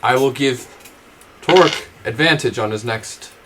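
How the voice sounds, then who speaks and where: A man's voice: mid-range voice, a little slow. A man talking casually as a player at a tabletop role-playing game. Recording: noticeable background noise with clicks.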